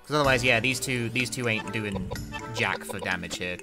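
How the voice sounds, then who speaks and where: A man's voice: fairly low pitch, normal speed. A young man giving casual live gameplay commentary, thinking aloud in a wry, sweary way. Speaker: streamer, speedrunner. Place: indoors, at a desk with webcam. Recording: loud game music behind. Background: video game music.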